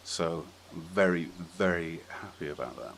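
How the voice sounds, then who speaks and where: A man's voice: deep voice, normal speed, a bit bright. A man hosting a podcast, chatting casually and informally with strong opinions. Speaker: podcast host. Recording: clearly audible background noise.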